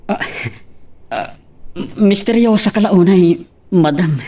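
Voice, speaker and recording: A man's voice: sits high, takes it slowly, a little mellow. A man with an Ilocano accent acting a hesitant, lovesick young employee in an amateur audio drama. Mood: hesitant, flustered. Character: hesitant lovesick young male employee, unsure with his boss.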